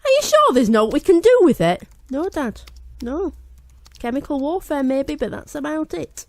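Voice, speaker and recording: A man doing a deadpan Yorkshire schoolgirl. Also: very high voice, moderate pace, no echo, pitched above the performer's own voice, heavily acted. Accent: West Yorkshire. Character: young Yorkshire girl writing her diary, deadpan.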